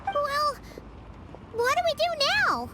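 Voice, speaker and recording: A woman voicing a small floating fairy companion in a game cutscene, childlike and chirpy with a squeaky voice. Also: huge pitch swings, takes it slowly, background noise, exaggerated voice. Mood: chirpy, puzzled. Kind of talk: video game cutscene acting.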